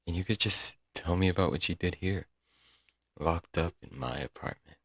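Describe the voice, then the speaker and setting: Deep-voiced lovesick yandere guy, acted by a man. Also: moderate pace, vocal fry, no room sound, studio quality. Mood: possessive, adoring. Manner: doting, earnest, dramatic with sighs and chuckles. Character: lovesick, broke young male yandere stalker-kidnapper, clingy and sweet. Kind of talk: intimate ASMR audio roleplay, scripted monologue.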